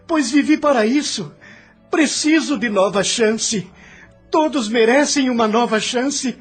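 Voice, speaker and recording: A man's voice: extremely high pitch, slightly rough, full character voice. A man playing an agitated, ailing immigrant in an audio drama, theatrical and impassioned, embittered by hardship. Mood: desperate. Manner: theatrical, impassioned, swinging from faint to outcry.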